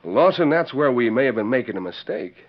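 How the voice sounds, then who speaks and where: An actor playing an earnest, henpecked frontier deputy with a rural Western American accent and folksy, hesitant manner in a 1940s radio western. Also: male voice, mid-range voice, big pitch swings, fairly quick. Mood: earnest, insistent. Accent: rural American West. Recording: dull old 1940s radio recording.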